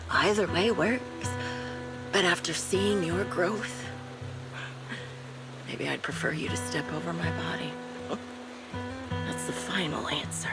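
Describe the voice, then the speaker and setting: An actress playing a vengeful, fatalistic ex-soldier villainess in a video game, speaking in a hushed, taunting way that drops to whispers. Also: female voice, fairly low pitch, slow pace, pauses often. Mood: fatalistic menace. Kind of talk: dramatic video game voice acting. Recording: loud music under the voice. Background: music.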